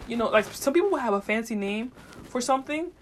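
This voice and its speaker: A woman's voice: on the high side, animated, fast, slight background noise. A woman talking off the cuff as a podcast host in a casual, slangy monologue, swearing freely and meandering as she thinks aloud. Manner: slangy, heavy swearing, unfiltered.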